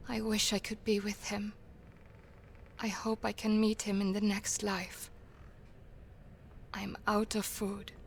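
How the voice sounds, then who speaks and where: A woman playing a lovesick, frightened villager who reads her plague diary aloud in a sorrowful, measured way, as video game narration. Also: mid-range voice, slight background noise.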